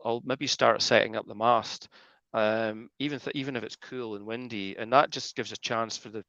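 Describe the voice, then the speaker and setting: A man's voice: medium pitch, a bit bright, dry recording. A Scottish man chatting at length on a video call as a guest radio amateur, often restarting his sentences. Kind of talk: casual video call chat. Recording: dull, dry video call audio.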